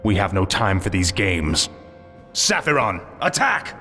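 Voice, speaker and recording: An actor playing a fallen prince turned undead death knight in a game, cold, haughty and commanding. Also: male voice, sits low, very animated, unhurried, bright, forceful tone, soft background music, exaggerated voice. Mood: irritated. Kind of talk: video game cutscene acting.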